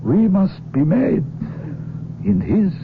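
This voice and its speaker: Self-sacrificing doctor, radio drama. Deliberate, emotional, widely swooping delivery, high male register. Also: expressive, heavily acted. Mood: wistful, reverent. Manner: deliberate, emotional, pious, courtly. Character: earnest, self-sacrificing foreign doctor, a secret father. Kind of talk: 1970s radio drama acting. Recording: muffled old radio broadcast, rumbling noise.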